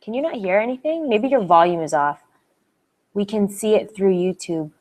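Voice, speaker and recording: A woman's voice: mid-range voice, expressive, normal speed, darkish tone. A young woman from Canada hosting a live Q&A stream, chatty and bubbly, giggling often and restarting her sentences as she answers viewer comments.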